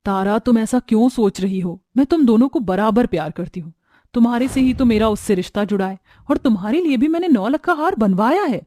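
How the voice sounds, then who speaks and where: A woman's voice: on the high side, expressive, brisk, warm tone, no echo. A woman playing a generous, caring young elder sister-in-law in a cartoon family story. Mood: reassuring.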